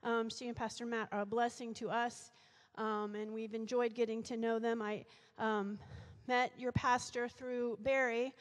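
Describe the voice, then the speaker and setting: A middle-aged woman speaking as guest speaker at a church lectern, croaky from a cold, chatty with frequent fillers, into a handheld mic. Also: a little high, flat delivery, unhurried, few pauses. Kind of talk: sermon introduction. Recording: reverberant church hall.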